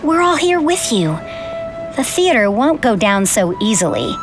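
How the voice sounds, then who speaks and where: A woman voicing a middle-aged widowed mother in a video game, gentle, measured and reassuring. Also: fairly high pitch, sing-song. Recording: game audio with music.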